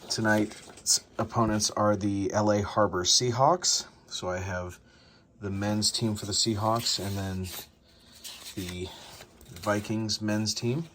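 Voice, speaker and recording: Low-pitched man vlogging casually. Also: steady tone, takes it slowly, a bit bright, slight background noise. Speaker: vlogger and sports announcer. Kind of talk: casual vlog explanation.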